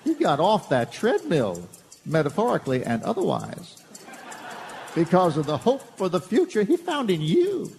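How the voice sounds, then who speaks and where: A man's voice: high-pitched, huge pitch swings, moderate pace, gravelly, darkish tone, a little hiss or hum, full character voice. An actor playing a kindly, earnest older small-town father figure in a live comedic radio play. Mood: consoling. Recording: live hall reverb, music behind.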